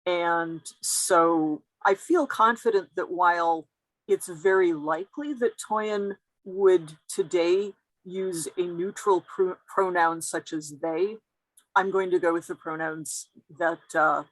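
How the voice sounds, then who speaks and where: A woman's voice: on the low side, fairly bright tone, no room sound, low-quality recording. A woman giving a careful, explanatory academic talk as a guest lecturer over a video call.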